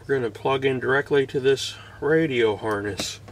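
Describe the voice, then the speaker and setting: Man, forties, medium pitch, casual how-to vlogger, camera mic in car, noisy background. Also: moderate pace. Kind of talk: casual hands-on install tutorial. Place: inside a parked car outdoors, door open.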